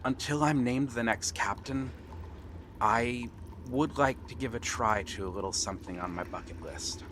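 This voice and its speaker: A man acting a friendly, eager young pirate prince in an earnest, gentle ASMR roleplay with pauses. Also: mid-range voice, noisy background, close to an everyday voice. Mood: eager, hopeful. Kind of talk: ASMR roleplay acting, one-sided dialogue. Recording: water and ambient sound effects behind. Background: seaside ambience, water sounds.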